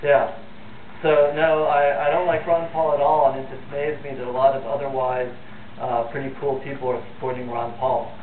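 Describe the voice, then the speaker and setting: A man's voice: medium pitch, little pitch movement, normal speed. A man hosting a live political phone-in show, speaking off the cuff in an earnest, opinionated way. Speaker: host of a call-in political talk show. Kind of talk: unscripted live phone-in talk. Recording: phone-like, roomy, distant, noisy TV audio.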